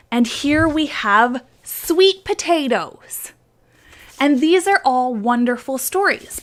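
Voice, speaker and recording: A woman talks to children in a fairly high voice, taking her time. She explains things simply, step by step, in show-and-tell style. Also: a bit bright. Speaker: children's story presenter at church.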